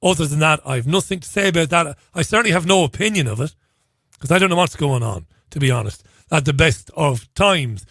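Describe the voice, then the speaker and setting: Hoarse radio host guy, bit higher voice, dry close sound, rambling wry asides. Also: expressive, fairly quick, a bit bright, no room sound. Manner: chatty, wry asides, self-interrupting. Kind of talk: casual talk radio monologue.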